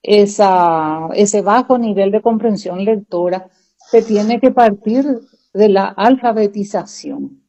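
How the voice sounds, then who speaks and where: A woman with a Paraguayan Spanish accent, answering deliberately in a conversational interview, often repeating phrases as she builds her point. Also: medium pitch, dark tone. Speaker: interview guest, educator. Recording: dull lo-fi muffled sound.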